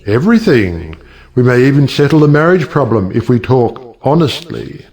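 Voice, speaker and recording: An actor playing a witty, cynical middle-aged uncle and judge who lectures rhetorically in an audiobook stage play. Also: male voice, medium pitch, sing-song, moderate pace, a little mellow, lightly acted. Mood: wry. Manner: rhetorical, sardonic, declamatory.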